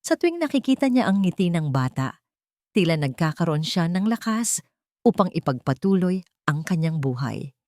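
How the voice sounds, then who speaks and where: A woman narrates a dramatic scripted story in a fairly low voice. Her phrasing is measured and theatrical. The recording is dry and close, free of room sound. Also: very animated, mellow, no echo.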